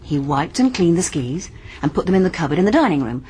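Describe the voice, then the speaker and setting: A woman's voice: fairly low pitch, big pitch swings, fast, darkish tone, low-quality recording. An actress playing a keen, clever young woman sleuth with an English accent in a radio mystery drama. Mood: eager, explaining. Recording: faint background noise.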